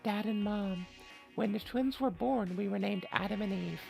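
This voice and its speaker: Gravelly, anxious young woman narrating a mystery as a reader voices her. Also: mid-range voice, normal speed, a little mellow, soft background music, low-quality recording. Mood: earnest, uneasy. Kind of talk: scripted first-person visual novel narration.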